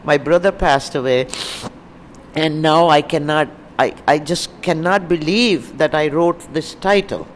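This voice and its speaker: A woman's voice: low-pitched, measured pace, in a large hall. A woman playwright with a Pakistani accent answering interview questions spontaneously, searching for words and repeating herself. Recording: noisy room ambience.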